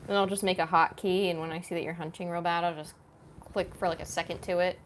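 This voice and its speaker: A woman co-hosts a casual livestream with short, teasing asides. Her voice sits a little low for a woman. It is recorded with faint background noise, sounding slightly off to the side. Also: fairly even intonation, fast talker. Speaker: co-host helping with the livestream. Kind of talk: casual off-hand chat. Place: home art studio.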